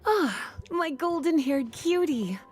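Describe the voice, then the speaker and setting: A sultry librarian witch who teases in a languid, affectionate way. Her pitch sits a bit high and moves in lively swoops. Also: slow pace, soft background music. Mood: teasing. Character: sultry, teasing female librarian witch, adult.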